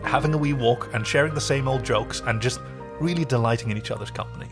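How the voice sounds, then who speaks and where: A man's voice: mid-range voice, fast talker, faint music behind. A man co-hosting a podcast, casually and reflectively recapping a television episode. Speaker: podcast co-host.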